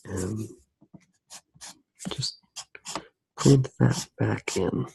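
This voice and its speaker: A man softly narrating an ASMR drawing tutorial close to the mic, hushed and unhurried, often pausing mid-sentence. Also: fairly low pitch, gravelly, breathy edge, no room sound, rough recording. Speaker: ASMR artist, host.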